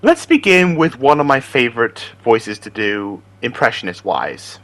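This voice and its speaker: A man's voice: medium pitch, sing-song, a little slow, fairly bright tone. A man hosting as an amateur voice impressionist, chatting casually to viewers in a rambling, self-deprecating way. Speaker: amateur voice impressionist and video host.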